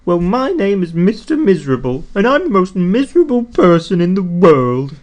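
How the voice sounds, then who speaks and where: A man voicing a miserable, sad little round storybook character with a squeaky, sniffy, whining voice. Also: very animated, normal speed, a little mellow.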